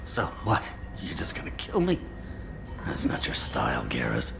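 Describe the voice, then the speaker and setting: A sleazy, defiant crook in a video game. His voice is low and gravelly with lively, sarcastic swings. Music plays clearly behind him. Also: moderate pace, heavily acted. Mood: sneering. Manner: sneering, sarcastic. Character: sleazy, cornered male criminal fixer, defiant and smug. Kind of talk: video game dramatic dialogue.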